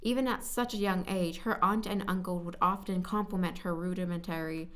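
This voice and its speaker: Woman narrating a story, halting retakes. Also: lowish voice, steady tone, heavy background noise. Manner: halting, stumbling over words and repeating takes. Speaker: voice actor recording as narrator.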